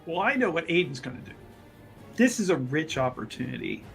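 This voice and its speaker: A woman's voice: low-pitched, very animated, a little fast, vocal fry, soft background music. A woman playing a tabletop roleplaying game, casually narrating a character's plans in an eager, emphatic way.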